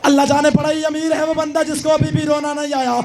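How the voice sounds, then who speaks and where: High wailing male mourning reciter. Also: completely flat delivery, fast talker. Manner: half-sung, shouted lament. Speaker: majlis reciter. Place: mourning gathering.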